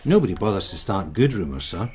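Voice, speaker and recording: A man's voice: low-pitched, very animated, darkish tone, slight background noise. An actor playing a weary male detective sergeant in a radio drama, dryly deferential and understated. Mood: dry briefing. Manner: dry, understated, measured briefing.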